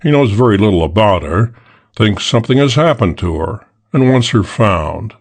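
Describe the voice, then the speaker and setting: A man playing a careful, matter-of-fact private detective with a measured manner in an audiobook. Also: fairly low pitch, lively intonation. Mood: businesslike. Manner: businesslike, measured. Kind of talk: audiobook character acting.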